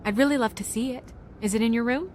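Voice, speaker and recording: A woman playing a kindly, composed young woman in a video game, speaking in a gentle, coaxing way. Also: fairly high pitch, fast talker, slight background noise, lightly acted. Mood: friendly, coaxing.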